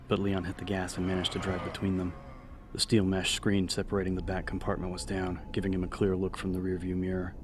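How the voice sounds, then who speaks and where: A man's voice: sits low, background noise. A man narrating horror fiction in a dramatic, scripted audiobook style.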